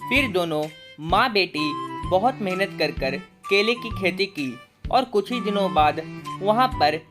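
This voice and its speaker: A woman's voice: medium pitch, lively intonation, measured pace, music in the background. A woman narrator reading a scripted children's story.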